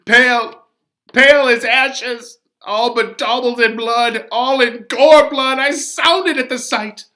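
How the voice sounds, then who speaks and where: A man voicing a distraught older nurse from a Shakespeare play, crying out in loud, drawn-out sobs. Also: extremely high pitch, fairly even intonation, full character voice. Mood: grieving. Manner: loud, drawn-out, sobbing cries.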